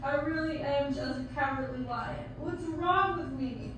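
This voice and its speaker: A woman's voice: sits high, a bit bright. A woman voicing a timid, fretful cowardly lion in an amateur puppet show. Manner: timid, drawn-out. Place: theater, camera far from puppet stage. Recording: distant echoey theater recording, faint noise.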